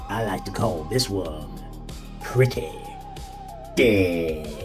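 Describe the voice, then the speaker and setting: A man's voice: low-pitched, animated, drawn-out words, full character voice. An actor playing a creepy, folksy horror-host uncle with a Southern US drawl, teasing and mock-soothing as he introduces a spooky tale. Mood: sinister, teasing. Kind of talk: theatrical spooky storyteller introduction. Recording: music playing behind the voice.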